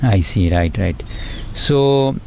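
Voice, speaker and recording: A man's voice: lowish voice, expressive, slow, creaky, a little mellow, a little hiss or hum. A middle-aged man with an Indian accent, a pathologist guest giving earnest explanatory answers with frequent fillers and "right, right".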